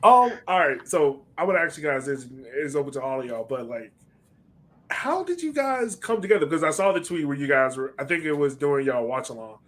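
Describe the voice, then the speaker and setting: A man's voice: on the high side, sing-song, a little fast. A man hosting a casual podcast interview, asking questions in an easy conversational style. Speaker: podcast host.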